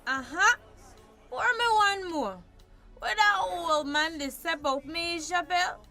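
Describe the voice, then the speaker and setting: A woman's voice: very high voice, expressive, a little slow, pushed and bright, full character voice. An actress playing a drunken, self-pitying young mother in a radio drama, slurring in a Belizean Creole accent. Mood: bitter, self-pitying. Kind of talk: radio drama acting in dialect. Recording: radio drama with faint music behind.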